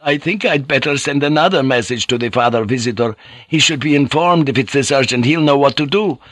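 A man's voice: medium pitch, a bit bright, no room sound, voice pushed up, natural voice. A man voicing a worried Jesuit priest. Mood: concerned. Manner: measured, concerned. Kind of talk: audiobook character voice.

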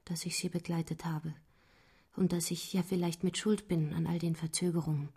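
Radio play: gentle young lady, very breathy whispery voice, mellow, faint music. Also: lowish voice, little pitch movement, normal speed. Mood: tender. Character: gentle, devoted young woman.

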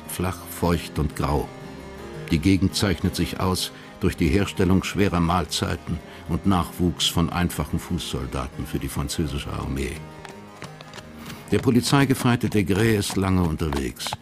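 Faint music runs beneath a male narrator with a fairly low voice. He reads literary scripted narration for a radio crime drama. His delivery is measured, sober and detached.

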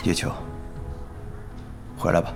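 An actor playing a calculating, smooth club boss with a measured, probing manner in a Chinese audio drama. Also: male voice, close to an everyday voice. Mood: persuasive. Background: music.

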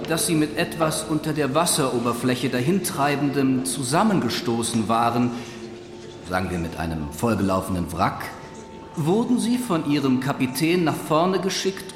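An actor playing a formal, deliberate official questioner at a maritime inquiry in a radio play. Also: male voice, mid-range voice, sing-song, over music, close to an everyday voice. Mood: formal, probing. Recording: echoing hall with long reverb.

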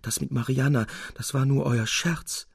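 A man's voice: medium pitch, fairly even intonation, moderate pace, creaky, slightly rough, dry recording, studio quality, voice pushed up. A man voicing a pitiful, self-pitying old man in an audiobook story, groaning and effusive in his speech. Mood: weak, anxious.

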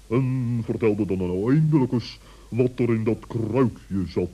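A man playing a stern, bossy old owl in a children's radio play, pompous and reproving with drawn-out emphasis. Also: fairly low pitch, mellow, husky. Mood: suspicious, probing. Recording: dull vintage radio recording.

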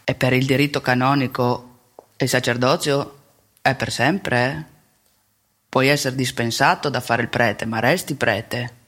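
An older former priest in a radio theatre monologue. He is mild and devout, talking in a colloquial, confessional way with small tag questions. His medium-pitched voice carries a self-deprecating plainness. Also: steady tone, a little slow, fairly bright tone. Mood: wry, resigned.

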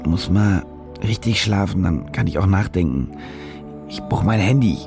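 An actor playing a cynical, desperate German war reporter in a radio drama, muttering and brooding as if to himself. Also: male voice, low voice, expressive, moderate pace, creaky, music in the background. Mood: weary self-reproach. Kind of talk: radio drama acting, interior monologue.

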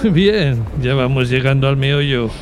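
A man's voice: medium pitch, lively intonation, measured pace, over a noisy crowd, close to an everyday voice. A man voicing a cocky, vain stray dog who makes smug, sly asides to himself in a comic audio drama. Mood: scheming, vain.

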